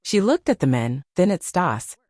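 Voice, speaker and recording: A woman's voice: fairly low pitch, sing-song, normal speed, darkish tone, no room sound, studio quality. A woman reading a dramatic scripted story as an audiobook narrator.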